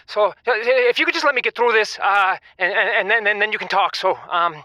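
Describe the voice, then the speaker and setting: An actor playing a nervous, sexually frustrated bachelor who stammers as a caller in a comedic radio audio drama. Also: male voice, high voice, sharp tone, no room sound, studio quality. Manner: stammering, flustered, halting.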